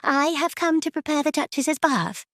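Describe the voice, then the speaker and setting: A woman's voice: sits high, lively intonation, moderate pace, fairly bright tone, no echo, studio quality, voice pushed up. A woman voicing a timid, flustered young lady's maid with a hesitant, deferential manner. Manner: hesitant, servile, eager.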